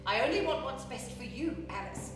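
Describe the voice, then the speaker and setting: A woman's voice: high voice, animated, normal speed. A woman playing a stern, weary and protective mother with a wounded manner, in a stage radio play. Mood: stern, hurt. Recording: echoey theatre, distant, music behind.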